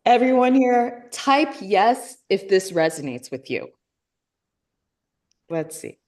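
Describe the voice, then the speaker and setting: A woman speaking in a mid-range voice, presenting to an online audience. She talks in a coaching tone and slows down to stress key points, with a persuasive, upbeat delivery. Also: medium pitch, sing-song, darkish tone. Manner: emphatic, repeats key lines slowly. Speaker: webinar co-host. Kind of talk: motivational webinar talk.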